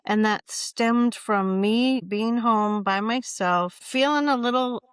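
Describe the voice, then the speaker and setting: A woman's voice: fairly high pitch, takes it slowly, smooth, no echo. Cheerful lady cohost chatting, laughs a lot, dead dry recording. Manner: upbeat, laughs often. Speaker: podcast co-host. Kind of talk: casual chat. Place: Midwest.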